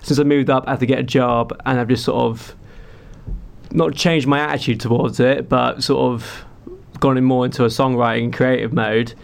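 A man's voice: medium pitch, flat delivery, moderate pace, vocal fry. A young man, a singer-songwriter, talking casually and rambling through stories with lots of fillers. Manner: rambling, filler-heavy storytelling. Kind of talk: casual chat.

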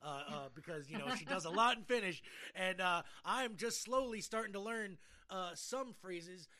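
High-pitched, bright American man hosting casually. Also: normal speed. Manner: jokey, rambling. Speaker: podcast host. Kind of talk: casual chat.

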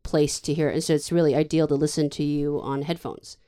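A woman's voice: sits low, flat delivery, a little fast, mellow, smooth. A woman hosting a podcast, chatting casually with a guest, rambling and laughing often. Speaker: podcast host. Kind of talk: casual interview chat.

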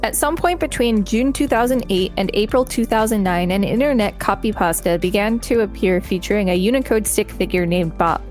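A woman's voice: medium pitch, fairly quick, few pauses, darkish tone, soft background music. Woman reading an article, matter-of-fact. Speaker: podcast co-host reading an article. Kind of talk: casual read-aloud.

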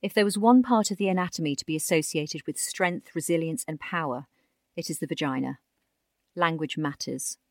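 A woman's voice: sits low, moderate pace, dry recording, clean studio sound. A woman narrating a scripted magazine feature, reading quotes as part of the article.

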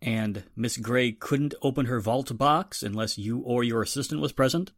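A man playing a businesslike police inspector, forceful in questioning, in a 1940s radio drama style. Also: mid-range voice, moderate pace, no echo, studio quality, close to an everyday voice. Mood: probing.

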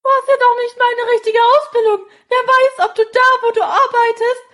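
Woman voicing a meddling aunt, very high voice, pushy, mocking. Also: level tone, moderate pace, fairly bright tone. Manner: pushy, mocking, laughs while scolding. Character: nagging meddlesome middle-aged aunt. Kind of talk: amateur audio drama acting.

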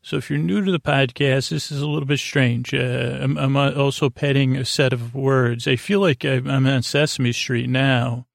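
A man hosting a bedtime podcast with a whimsical, meandering, halting monologue that often trails off, in a gravelly voice. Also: medium pitch, fairly even intonation, no room sound, clean studio sound. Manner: whimsical, talks to an imaginary guest. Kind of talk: rambling improvised bedtime monologue.

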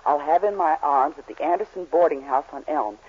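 A woman's voice: low voice, fairly quick, breathy edge. An actress playing a flirtatious, hard-boiled female con artist in a 1940s radio comedy. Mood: scheming, hard-boiled. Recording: muffled, faintly noisy 1940s radio recording.

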